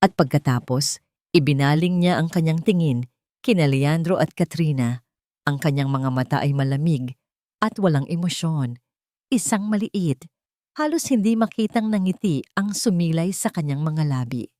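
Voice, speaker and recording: A woman with a Filipino accent reading a scripted dramatic story in a measured, theatrical way. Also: deep voice, lively intonation, mellow, no echo.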